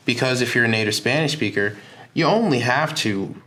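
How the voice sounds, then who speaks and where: A man's voice: medium pitch, expressive, fairly quick, bright, forceful tone. A man hosting a podcast interview, talking in a chatty, enthusiastic way. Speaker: podcast host. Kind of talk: casual interview conversation.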